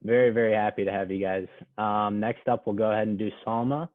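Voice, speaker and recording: A man moderating an online panel in a relaxed, casual way, heard through a tinny video-call connection. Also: lowish voice, fairly quick, dry recording. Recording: tinny dry phone-like call audio.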